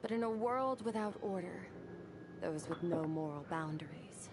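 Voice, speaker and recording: A woman voicing a reserved young swordswoman in a video game, speaking in a quiet, measured way that often drops to a half-whisper. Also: lowish voice, lively intonation, background noise, natural voice. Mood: somber.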